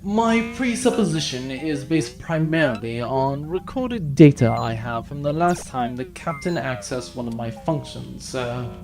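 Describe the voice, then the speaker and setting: A man's voice: mid-range voice, expressive, measured pace, hardly any pauses. A man playing a polite, deferential artificial intelligence with formal, wordy speech in a science fiction comedy audio drama. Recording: echoey reverberant voice over music.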